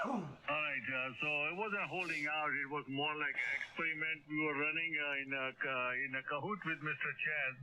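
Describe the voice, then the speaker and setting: A man on a ham radio net, chatting casually and haltingly, with many fillers and repeated words. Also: fairly high pitch. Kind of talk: casual on-air radio chat. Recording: dull radio audio with clear static.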